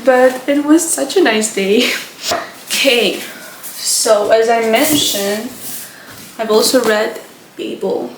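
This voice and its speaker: A woman's voice: a little high, slow, long pauses, distant mic, background noise. A young woman from Moldova with a Moldovan accent, chatting casually and rambling about books, with frequent restarts. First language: Romanian. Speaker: book vlogger. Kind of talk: casual chat. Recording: echoey room, from off the mic.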